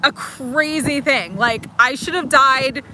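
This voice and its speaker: A woman's voice: sits high, slow pace, slight background noise, rough recording. Chatty woman in her forties doing a card reading. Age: 40s. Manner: warm, chatty, giggly, affirming. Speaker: oracle card reader. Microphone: livestream mic.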